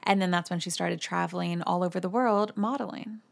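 A young adult woman, hosting a casual gossip podcast and chatting freely with quick asides and the odd swear. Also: on the low side, steady tone, vocal fry. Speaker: podcast host.

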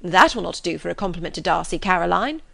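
A woman puts on an easygoing young gentleman in an audiobook, bright and lively, his pitch jumping up on protests. He sounds good-natured and quick to laugh at himself, read dry and close. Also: vocal fry, no room sound, heavily acted.

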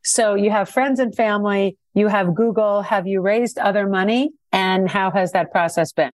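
A woman's voice: medium pitch, normal speed, no echo. Woman co-host, direct and businesslike, dry close sound. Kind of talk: interview questions.

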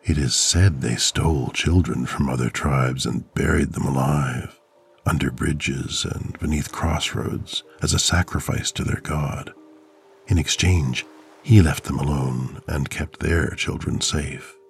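A male narrator voicing a father who tells eerie old lore to his children, slow and ominous. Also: a bass voice, fairly even intonation, gravelly, a little hiss or hum, pitched below the performer's own voice, full character voice. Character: stern father telling eerie local lore.

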